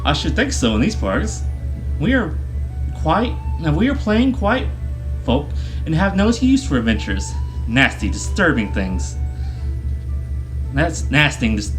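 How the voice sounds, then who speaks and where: A man voicing a fussy, excitable, polite hobbit homebody in a storybook reading, stammering and chattering primly. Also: fairly high pitch, unhurried, long pauses, faint music behind, low-quality recording. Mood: prim disapproval. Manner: flustered stammering, prim and chatty.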